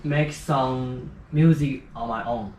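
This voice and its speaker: A seventeen-year-old Taiwanese high school student with a Taiwanese accent, speaking simple English shyly and searching for his words. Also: fairly even intonation, slow, darkish tone, a little hiss or hum. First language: Mandarin. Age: teens. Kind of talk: casual chat in simple English.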